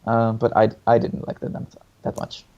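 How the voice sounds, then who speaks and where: A man's voice: medium pitch, monotone, moderate pace, vocal fry, warm tone. A man co-hosting a casual review chat, giving opinions hesitantly and often repeating his words. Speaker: co-host reviewing song contest entries. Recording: dull lo-fi sound.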